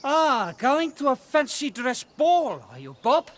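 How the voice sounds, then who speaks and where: A coarse, sneering bully of a man in a radio play, his voice climbing high and swinging wildly. He drags every word out slowly and menacingly. Loud outdoor noise sits behind him. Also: huge pitch swings, heavily acted. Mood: mocking. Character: brutal, bullying, sneering middle-aged male villain. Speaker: villain forcing a marriage. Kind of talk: BBC radio drama acting.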